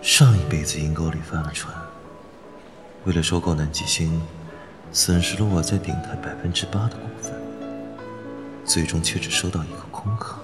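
A man acting a cynical, guarded heir in his twenties in an audio drama, cool, sardonic and deliberate. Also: deep voice, fairly even intonation, music in the background, close to an everyday voice. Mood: detached, brooding. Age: 20s. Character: cynical, guarded young heir, coolly scheming. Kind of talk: Chinese audio drama acting with inner monologue.

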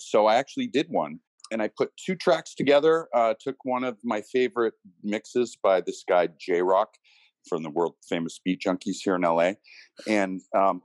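A man talking casually as an interview guest, in a hesitant, halting manner. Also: sits low, darkish tone, no echo. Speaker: company team member being interviewed.

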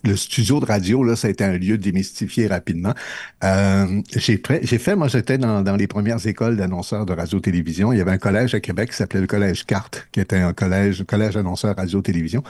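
Husky, low Québécois radio man. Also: no echo. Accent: Québécois French. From Matane, Quebec. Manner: relaxed, rambling storytelling with many pauses. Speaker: radio host. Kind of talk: casual on-air chat, telling anecdotes. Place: radio studio.

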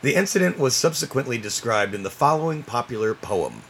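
A man's voice: medium pitch, sing-song, fairly quick, gravelly, slight background noise. A man co-hosting a casual comedy podcast, reading history aloud and breaking into laughter often. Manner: giggly, reads aloud with frequent laughing breaks. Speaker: podcast co-host.

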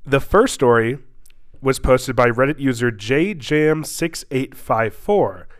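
A man's voice: medium pitch, lively intonation, slow. A young man hosting a podcast, congested with a stuffy nose, chatting casually with many fillers and restarts, then reading a story aloud. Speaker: podcast host.

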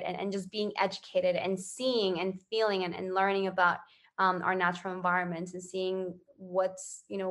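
A woman's voice: medium pitch, fairly bright tone. Casting: woman from Ecuador, interview guest, mid-range voice, earnest and conversational. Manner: earnest, conversational, often tagging phrases with "right?". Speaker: interview guest, social entrepreneur. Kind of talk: casual interview answers.

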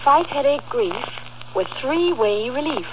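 A woman's voice: high voice, lively intonation, unhurried. A woman reading scripted 1940s radio ad dialogue as the sponsor's sidekick, chirpy, folksy and eager. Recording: noisy, narrow old radio recording.

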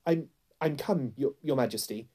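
A man's voice: medium pitch, moderate pace, warm tone, pitched above the performer's own voice, lightly acted. A man voicing a frightened young boy in a storybook, stammering and pleading as he trembles. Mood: timid. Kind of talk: storybook character voice.

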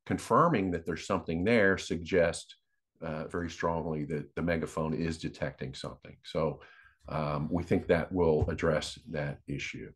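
Man, deep voice, podcast co-host, unscripted explanatory talk, measured, matter-of-fact. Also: breathy edge.

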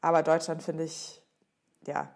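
A young American woman, a native English speaker, answering interview questions in halting German, often pausing to search for words. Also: low-pitched, level tone, creaky, a little mellow. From United States. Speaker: guest.

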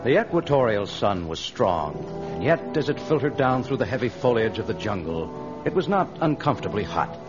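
A man's voice: medium pitch, sing-song, normal speed, music in the background. Male radio serial narrator, muffled vintage sound. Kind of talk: scripted dramatic narration, old radio serial. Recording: dull vintage radio transcription.

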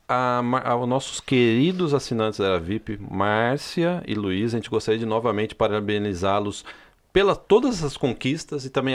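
Chatty Brazilian man co-hosting a podcast. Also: mid-range voice. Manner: chatty, animated, many fillers like né. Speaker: podcast co-host. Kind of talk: casual podcast chat.

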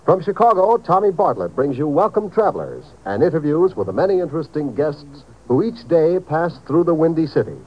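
Lively 1950s American radio announcer, high-set male voice. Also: fairly quick, hardly any pauses. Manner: upbeat, polished, salesmanlike. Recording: dull vintage 1950s radio recording.

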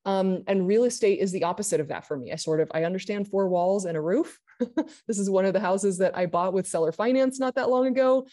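A woman's voice: mid-range voice, warm tone. A woman who grew up in Argentina, a guest speaking candidly in a casual interview. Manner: candid, quick, many restarts.

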